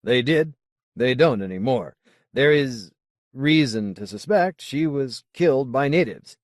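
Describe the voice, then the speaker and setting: A man voicing a solemn, zealous colony leader in an audiobook, speaking in a formal, deliberate, oratorical way. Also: medium pitch, animated, dry recording, clean studio sound, pitched above the performer's own voice, close to an everyday voice. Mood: grave, urging. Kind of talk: audiobook character dialogue.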